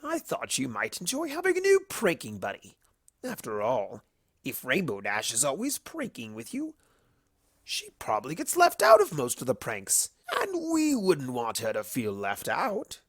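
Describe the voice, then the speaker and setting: A narrator acts out a mischievous male spirit of chaos from a cartoon. The voice is husky and swings wildly in pitch, with a smug, theatrical, scheming delivery. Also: huge pitch swings, normal speed, no echo, clean studio sound.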